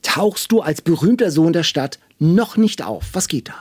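Husky interviewer with a higher voice. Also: male voice, lively intonation, normal speed, no echo. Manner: playful, teasing questions. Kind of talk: conversational interview.

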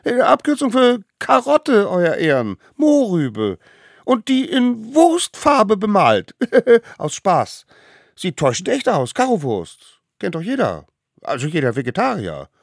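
A bunch of squeaky little wild kids, as a male storyteller puts them on, blurting out eager excuses at the top of their voices. The voice jumps all over the place and stays loud. It sounds dry and close. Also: wildly swooping pitch, measured pace, no room sound, exaggerated voice. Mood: fibbing. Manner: high squeaky shouting, babbling excuses. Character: small excitable stone-age kids, eager and fibbing.

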